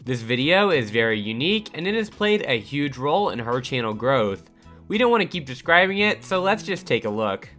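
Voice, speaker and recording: A man reading a scripted countdown voice-over with upbeat delivery over soft background music. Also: mid-range voice, very animated, fairly quick. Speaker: narrator of a countdown channel.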